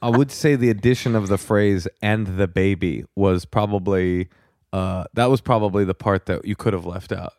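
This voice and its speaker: Wry American man, dryly recorded, low-pitched. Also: moderate pace, a little mellow, dry recording, clean studio sound. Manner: wry, joking. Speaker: podcast co-host. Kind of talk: casual comedic podcast chat.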